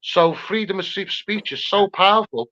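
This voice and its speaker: A man's voice: high voice, normal speed, pushed and bright. A man with a Scouse accent giving an impassioned, insistent campaigner's talk full of rhetorical repetition. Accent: Scouse (Liverpool). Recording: slightly dull, lo-fi sound.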